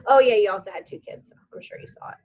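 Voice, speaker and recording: A woman's voice: mid-range voice, rapid-fire, darkish tone, dull audio. A woman co-hosting a podcast in casual chat, giggly and teasing. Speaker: podcast co-host.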